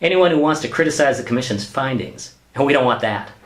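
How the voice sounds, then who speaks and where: Man acting an exasperated office husband, swinging pitch. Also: animated, fast talker, close to an everyday voice. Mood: patient, weary. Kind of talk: sitcom-style radio play acting. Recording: roomy, echoey sound.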